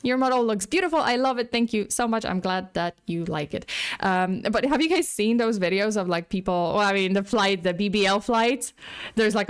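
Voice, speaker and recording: A woman hosting a casual livestream, her voice worn and going hoarse. She rambles in a chatty, loose way and keeps breaking off to thank and greet viewers, at an everyday mid pitch into a desk mic. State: losing her voice. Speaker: livestream host.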